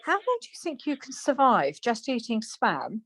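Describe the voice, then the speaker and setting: A woman playing a brisk, plain-spoken, chatty wife in a radio play, chiding and questioning. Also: fairly high pitch, wildly swooping pitch, a bit bright, husky, heavily acted. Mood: incredulous.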